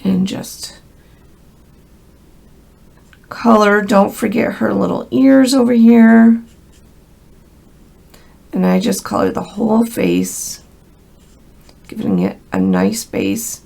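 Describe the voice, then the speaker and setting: A woman's voice: mid-range voice, lively intonation, slow pace, creaky, pauses often, a little mellow. An American woman with a crackly voice from a cold gives a casual, chatty hands-on craft tutorial, speaking directly and in a friendly way to viewers. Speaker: craft instructor.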